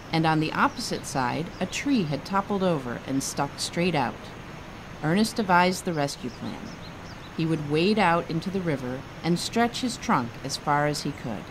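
A woman author reading her children's book aloud in an expressive storytelling style. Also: deep voice, background noise.

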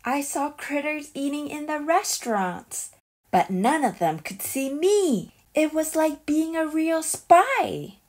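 A woman reads a children's story aloud at a very unhurried pace. Her voice sits fairly high, and she tells it expressively and deliberately, as a storyteller would. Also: very animated, a little mellow. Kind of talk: children's picture book read-aloud.

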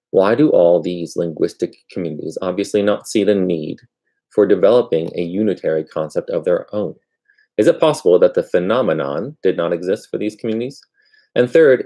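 A man in his thirties with a gravelly, husky voice, reading aloud from an academic book in a halting way, sometimes correcting himself. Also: sits low, warm tone. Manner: halting, with misreadings and self-corrections. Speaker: amateur book reader. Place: furnished room at home, indoors.

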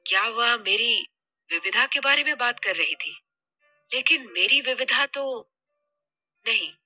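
An anxious, trusting middle-aged mother voiced by a woman frets to herself in an audio drama. The voice is bright and fairly high, with a worried, musing manner. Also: lively intonation, a little slow, faint music behind, heavily acted.

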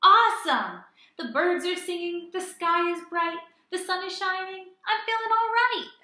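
A woman voicing an excitable little squirrel from a children's storybook, with emphatic, drawn-out exclamations. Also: very high-pitched, roomy sound, pitched above the performer's own voice, heavily acted. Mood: delighted.

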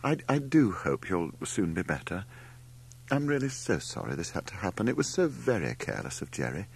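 A fussy, well-meaning mother from a storybook, put on by a male reader. The voice is husky and rises and falls a lot as she gently scolds and apologises. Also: sits low, big pitch swings, normal speed, heavily acted. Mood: concerned, apologetic. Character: concerned, gently scolding middle-aged mother.

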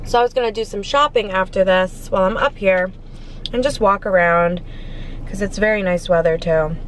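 A woman's voice: mid-range voice, moderate pace, poor audio. A woman in her twenties with an American accent, chatting casually and gushingly to camera as she eats in a parked car. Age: 20s. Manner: informal, gushing, talking between bites. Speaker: food vlogger. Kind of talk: casual chatty eating vlog. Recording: faint car and street noise.

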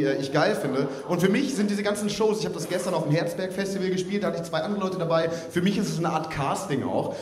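A man presenting a comedy act live, talking casually and hesitantly into a handheld microphone. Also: high-pitched. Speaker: poetry slam performer, presenter of the act. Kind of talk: casual live stage patter. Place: tent stage before audience. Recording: echoey live stage, audience noise.

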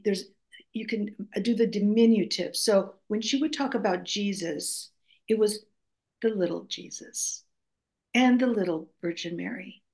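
Slow-speaking older woman, Greek first language. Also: medium pitch, creaky, darkish tone. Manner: reflective, hesitant with many restarts and fillers. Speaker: author guest. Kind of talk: conversational storytelling. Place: home room, video call.

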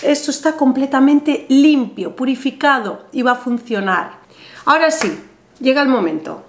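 A woman in her thirties with a Spain Spanish accent, seated at a table, explaining a ritual step by step in a chatty, animated way. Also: sits high, moderate pace, slight background noise. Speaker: ritual instructor. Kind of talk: step-by-step ritual tutorial to camera. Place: small bright room indoors, seated at table. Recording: roomy echoey room sound.

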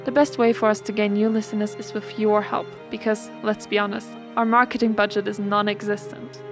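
A woman's voice: mid-range voice, little pitch movement, a little fast. A woman reading scripted end credits as the announcer of an audio drama podcast. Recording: clear music behind voice. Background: music.

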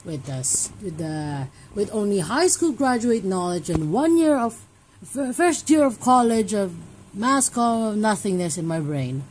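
A woman's voice: mid-range voice, very animated, unhurried, darkish tone, a little hiss or hum, low-quality recording. A young woman from Hong Kong talking casually at home in a personal vlog, rambling and restarting her sentences as she thinks aloud. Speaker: vlogger. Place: home, indoors.

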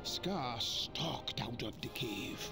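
An actor playing an old male mandrill elder, narrating a storybook read-along in an expressive way. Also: medium pitch, very slow, creaky, exaggerated voice. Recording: music playing behind.

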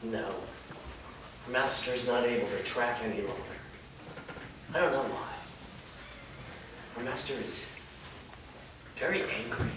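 A man's voice: mid-range voice, measured pace, long pauses, close to an everyday voice. A man in a staged reading playing a servile, resentful human minion who craves power, with a fawning, scheming manner. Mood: guarded. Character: whiny, servile human minion craving vampirehood, creepy and resentful. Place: indoor performance room, seated before an audience. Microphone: far camera. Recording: distant mic, very echoey noisy room.

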